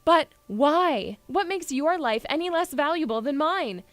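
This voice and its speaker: Audio drama heroine played by a woman: young, brave, fiery princess, high-pitched and very animated. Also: measured pace, no room sound, faint music behind. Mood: upset, scolding. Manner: emotional, exclamatory delivery.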